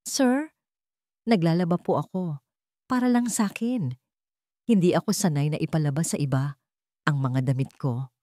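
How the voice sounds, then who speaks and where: A woman's voice: low-pitched, normal speed, long pauses, warm tone, no room sound, close to an everyday voice. Casting: meek young heroine of an audio romance acted by a woman narrator, apologetic, wounded, pleading, dry close sound. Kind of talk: dramatic audio love story.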